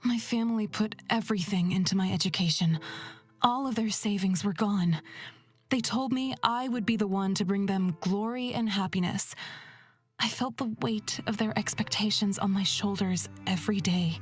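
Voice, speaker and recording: A calm young woman who drives a wagon in a fantasy game, voiced by a woman reader. She speaks in a measured, thoughtful way, with soft music underneath. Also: medium pitch, lightly acted. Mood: reflective.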